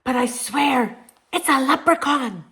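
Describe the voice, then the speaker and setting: A man's voice: extremely high pitch, animated, a little slow, sharp tone, pitched above the performer's own voice, full character voice. A woman doing a whiny lazy Irish man. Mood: awed. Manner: whiny, wailing, self-pitying. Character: lazy whining grown Irish man, melodramatic.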